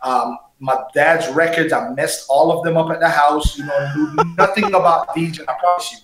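A man's voice: fairly high pitch, normal speed, noisy background. Upbeat man from Colombia, echoey room. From San Andres, Colombia. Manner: animated storyteller, says bro a lot. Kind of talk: casual interview chat.

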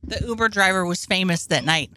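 A woman's voice: fairly low pitch, a little fast, bright, forceful tone, dry recording, low-quality recording. Giggly, playful woman comic. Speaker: stand-up comic guest. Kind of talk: casual chat.

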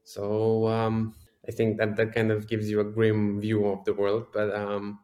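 A man's voice: fairly low pitch, completely flat delivery, a little slow, darkish tone, reverberant. A man in his thirties from Romania, a startup founder answering interview questions hesitantly with dry humor. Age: 30s.

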